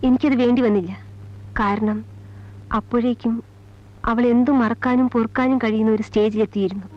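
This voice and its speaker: An actress playing a sorrowful mother and former college teacher, telling her past gravely in halting, measured phrases in a film drama. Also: a little high, steady tone, natural voice. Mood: grave, resigned. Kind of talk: Malayalam film drama, slow confessional narration. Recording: dull old film soundtrack, music behind. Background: film score music.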